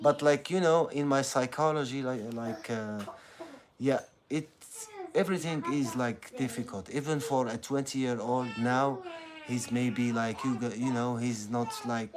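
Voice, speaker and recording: Halting Algerian man, crowd chatter behind. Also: medium pitch. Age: 30s. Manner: halting, full of fillers and restarts. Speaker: content creator. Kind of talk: casual motivational monologue.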